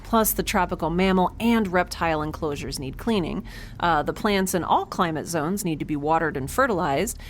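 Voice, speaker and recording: Actress as quirky nervous space scientist gal, lowish voice that bounces around, rambly and sarcastic, bit of noise behind. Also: female voice, animated, moderate pace, lightly acted. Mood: breezy, wry. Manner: chatty, rambling, sarcastic, self-deprecating. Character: quirky young woman scientist aboard a spaceship, nervous, wry. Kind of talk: conversational audio drama acting.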